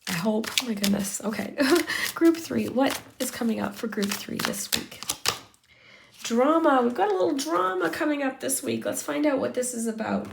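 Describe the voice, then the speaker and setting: A woman giving a chatty tarot reading straight to her viewers while losing her voice, sounding hoarse and sometimes sinking to a whisper. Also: high-pitched, lively intonation, moderate pace, background noise. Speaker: tarot reader. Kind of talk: pick-a-card tarot reading to camera.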